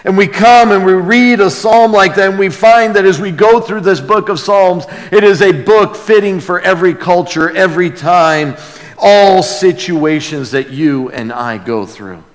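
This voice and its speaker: A man preaching an expository sermon, reverent and emphatic, moving between loud proclamation and hushed emphasis. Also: high-pitched, measured pace. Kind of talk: expository sermon with dramatic scripture reading. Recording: long reverberant hall sound.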